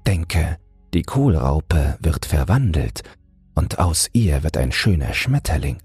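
A man narrating a bedtime fairy tale in a gentle, measured, soothing manner. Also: low-pitched, breathy edge, dry recording. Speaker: audiobook narrator and podcast host.

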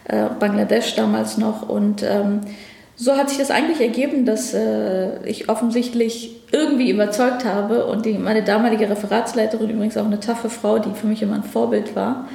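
Gravelly-voiced woman, echoey room. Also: medium pitch, steady tone, moderate pace, dark tone. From Afghanistan. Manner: thoughtful, many hesitations. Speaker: interview guest, ministry division head. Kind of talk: conversational interview. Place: office at a federal ministry.

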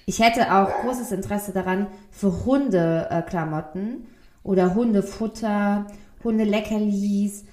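A woman's voice: medium pitch, unhurried, dark tone, slight background noise. A young woman co-hosting a casual podcast, blunt and joking in her chat. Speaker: podcast co-host.

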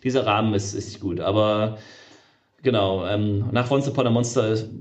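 A man's voice: lowish voice, normal speed, a little mellow. A middle-aged man, a game developer being interviewed, talking casually and hesitantly with many fillers and restarts.

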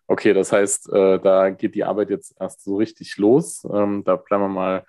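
A man's voice: deep voice, flat delivery, normal speed, darkish tone, dry recording. A man hosting a casual political podcast, chatty and explanatory with many fillers, recorded remotely. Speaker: podcast host asking questions.

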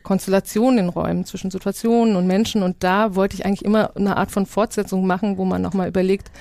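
A woman telling anecdotes casually as an interview guest, in an animated storytelling manner. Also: medium pitch, fast talker, vocal fry, no room sound. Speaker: radio science editor, interview guest. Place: dry podcast studio.

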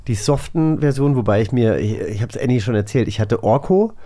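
A man's voice: mid-range voice, brisk, mellow. A middle-aged man, hosting a podcast in a casual, conversational style. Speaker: podcast host. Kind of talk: casual chat.

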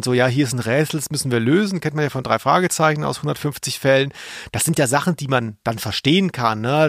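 A man's voice: mid-range voice, no room sound, studio quality. A man hosting a podcast about radio plays, explaining things conversationally in an amused way to his co-host.

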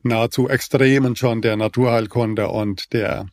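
Man in his fifties, podcast co-host; monotone, steady explainer, dry booth sound. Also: medium pitch, no room sound. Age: 50s. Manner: measured, even-toned explaining with many fillers. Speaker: naturopath and co-host. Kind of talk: casual podcast conversation.

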